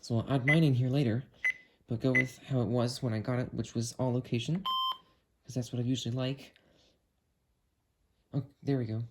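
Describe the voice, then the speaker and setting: A man talks through a casual hands-on product demo, thinking aloud at an unhurried pace. There is clear noise behind him, with clicks from handling the device. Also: mid-range voice, steady tone. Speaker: video creator demonstrating a weather radio. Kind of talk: casual hands-on review narration.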